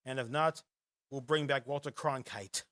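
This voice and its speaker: A man hosting a casual live podcast, chatting and reading quiz questions in a rambling way and laughing often. He has a booming radio-style voice. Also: steady tone, moderate pace, heavy background noise. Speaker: podcast host.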